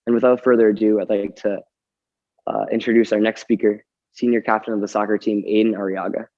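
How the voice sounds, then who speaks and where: Slightly raspy young man, low mellow voice. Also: level tone, fairly quick, no room sound, lo-fi sound. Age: late teens. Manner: formal and polite. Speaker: student team captain. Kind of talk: prepared welcome speech. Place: school gym.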